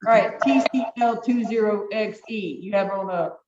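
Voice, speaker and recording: A woman judge with a deep voice presiding over virtual hearings, giving firm, brisk, repeated procedural instructions over a video call. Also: a little mellow. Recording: echoey, distant, dull video-call audio.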